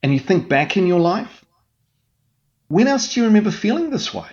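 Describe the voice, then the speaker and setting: A man's voice: high-pitched, very animated, moderate pace, a little mellow, highs cut off. A man speaking as a mental health guest in an interview, explaining with an earnest coaching manner and frequent restarts.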